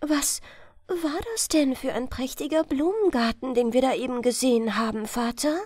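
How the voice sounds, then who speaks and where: A woman's voice: high-pitched, normal speed, a little mellow, dry recording. A woman voicing a curious young fisherman's daughter in a fairy tale, speaking with dreamy, wistful wonder. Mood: enchanted wonder.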